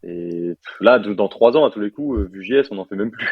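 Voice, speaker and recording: Young french dev guy, low voice, dry dull sound, chatty and laid back. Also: moderate pace, breathy edge, dry recording. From France. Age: 20s. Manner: relaxed, chatty, lots of fillers and slang. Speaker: software developer guest. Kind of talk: casual interview chat.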